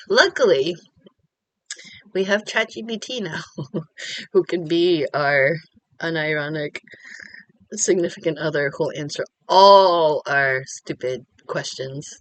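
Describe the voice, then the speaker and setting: A woman's voice: low voice, slow, pauses often, no room sound, low-quality recording. A Korean American woman talking candidly and rambling in a casual confessional vlog from home. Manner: candid, rambling, self-deprecating. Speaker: vlogger.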